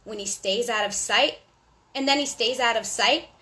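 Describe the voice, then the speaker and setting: A woman hosting a storytime for young children, reading aloud in a sing-song, deliberate way with little asides to her listeners. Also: fairly high pitch, pushed and bright.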